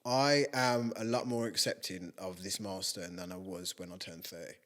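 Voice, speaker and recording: A man in his late thirties, a podcast co-host, speaking reflectively and trailing off softly. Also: low-pitched, fairly even intonation, normal speed. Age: 30s. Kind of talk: casual chat.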